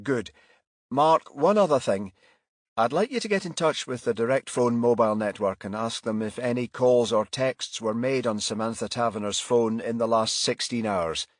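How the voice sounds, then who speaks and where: A man voicing a brisk, irritable detective in charge, clipped and commanding, in a crime audiobook. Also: mid-range voice, no echo, clean studio sound, voice pushed up, natural voice.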